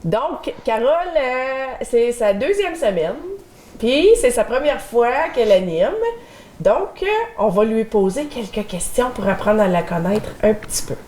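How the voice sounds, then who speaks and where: A woman's voice: a little high, lively intonation, unhurried, darkish tone. A middle-aged woman with a Québécois French accent hosting a local community TV show, chatting and reading the news with fillers. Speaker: community TV host.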